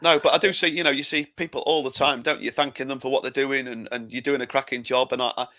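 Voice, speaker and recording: A man's voice: mid-range voice, steady tone, quick pace, sharp tone, dry recording. An English man co-hosting a football podcast in casual chat, often restarting his sentences. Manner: hesitant, lots of repeated starts. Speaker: podcast co-host.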